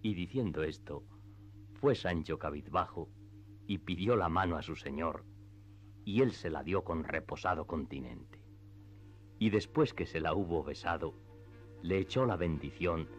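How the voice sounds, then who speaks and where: A man narrating a classic novel in a radio drama, with measured literary storytelling. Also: deep voice, natural voice. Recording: slightly dull, band-limited audio. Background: faint background music.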